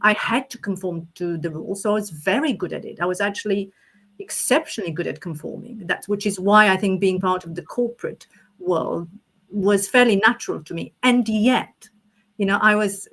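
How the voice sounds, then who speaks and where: A woman's voice: mid-range voice, normal speed, fairly bright tone. A woman from Romania talking through ideas in a podcast conversation, thoughtful and often rephrasing as she goes. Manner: thoughtful, with frequent restarts and rephrasing. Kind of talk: reflective conversational chat.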